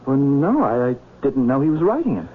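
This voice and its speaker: A man's voice: medium pitch, very animated, a little fast, slightly rough, narrow, tinny audio. An actor playing a nervous, defensive male secretary, stammering and earnest, in a vintage radio drama. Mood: wary, flustered. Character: nervous, defensive gentleman's secretary under suspicion. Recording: thin, noisy old radio recording.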